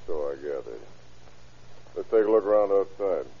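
A man's voice: deep voice, expressive, moderate pace, a little hiss or hum. An actor playing a shrewd, dryly persistent American lawman who questions suspects in an old-time radio crime drama. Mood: probing. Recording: muffled vintage radio recording.

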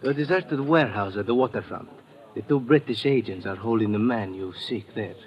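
Actor as shifty radio villain, muffled recording. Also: male voice, on the low side, fairly quick, natural voice. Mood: hushed, conspiratorial. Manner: formal, stilted, conspiratorial. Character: shifty foreign male henchman, falsely courteous schemer. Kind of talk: old-time radio villain acting. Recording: dull old radio recording, crowd behind.

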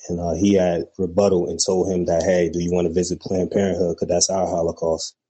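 A man's voice: deep voice, flat delivery, brisk, warm tone, slightly rough. A man calling in to a talk show, speaking casually and rambling with many fillers. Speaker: caller.

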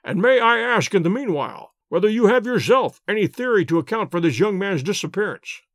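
A man reading a precise, calm detective. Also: sits high, dry recording, clean studio sound. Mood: reasoning. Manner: measured, precise, lecturing. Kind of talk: audiobook character voice.

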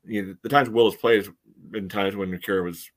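A man with a fairly low voice talks through sports analysis as a podcast co-host. He is measured and conversational, often padding his points with "you know.". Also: fairly even intonation. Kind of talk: casual soccer analysis.

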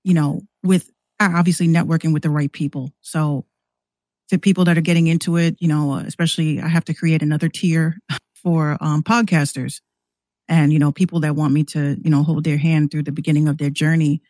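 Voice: mid-range voice, flat delivery, fairly quick, a little mellow, no room sound. A podcast guest who hosts a show, talking casually and at length in a rambling, conversational way.

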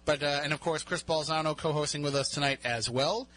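A chatty radio host with a Boston accent, a man whose voice sounds bright and a little high. He jokes easily and keeps the conversation light. He speaks into a studio mic. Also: fairly quick. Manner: chatty, jokey, laughs often. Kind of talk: casual interview chat.

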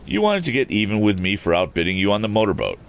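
A man's voice: on the low side, huge pitch swings, fast, a little hiss or hum, pitched above the performer's own voice, heavily acted. A man voicing a cool-headed teen hero, raised. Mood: stern. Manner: cool, crisp, commanding. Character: bold cool-headed teenage boy inventor hero. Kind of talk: audiobook character voice.

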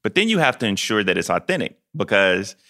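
A man hosting an interview podcast, animated and playful, laughing and joking casually with his guest. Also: fairly low pitch, fairly quick. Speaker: podcast host.

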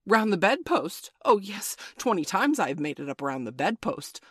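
A woman's voice: low voice, fairly quick, no echo, studio quality, lightly acted. A woman voicing a blustering, sarcastic middle-class father in a storybook, with a pompous, emphatic, theatrical delivery. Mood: dangerously sarcastic. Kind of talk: storybook character voice.

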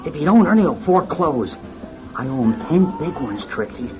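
An actor playing the brash, wheedling owner of a seedy go-go club in a classic radio drama, with slangy, excitable hustler talk. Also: male voice, fairly high pitch, normal speed, over music. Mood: anxious, pleading. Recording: muffled old radio drama recording.

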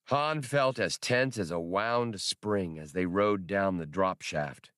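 A man's voice: low voice, slow, a bit bright, smooth, no room sound, clean studio sound. A man reading scripted fiction as an audiobook narrator.

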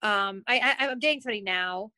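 A woman's voice: mid-range voice, fast talker, sharp tone. A middle-aged woman speaking as a guest in a casual chat, hesitant with many ums.

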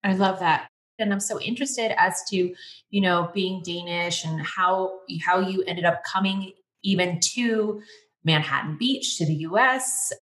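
Curious woman interviewer, lower voice. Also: measured pace, a bit bright, low-quality recording. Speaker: interviewer host. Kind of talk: conversational interview.

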